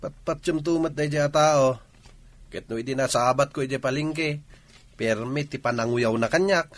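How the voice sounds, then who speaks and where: A man's voice: mid-range voice, normal speed, no room sound, natural voice. A man playing a mildly aggrieved Ilocano village husband, talking conversationally in a comedy radio drama. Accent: Filipino, Ilocano.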